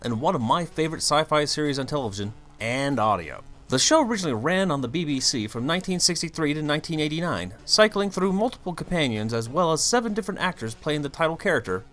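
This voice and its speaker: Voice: medium pitch, fairly bright tone. A narrator hosting a scripted fan video essay, explaining things emphatically and theatrically, with wry asides.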